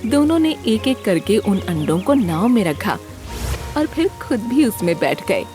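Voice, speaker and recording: A woman narrating a scripted children's story in an expressive storytelling manner. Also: mid-range voice, unhurried, music in the background.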